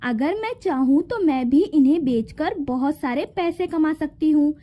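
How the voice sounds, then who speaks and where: Woman as a high-voiced scheming jealous fairy. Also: lively intonation, unhurried, dull audio. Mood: resentful, scheming. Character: jealous scheming female fairy who owns a garden.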